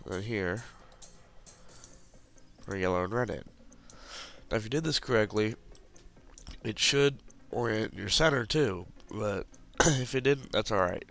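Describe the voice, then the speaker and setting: Guy with the flu, kinda stuffy, lowish voice but bright and sharp, faint music behind. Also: measured pace, long pauses. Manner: casual, walks through steps while clicking. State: has the flu, congested and sniffling. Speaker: puzzle tutorial maker. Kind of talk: screen-recorded puzzle tutorial.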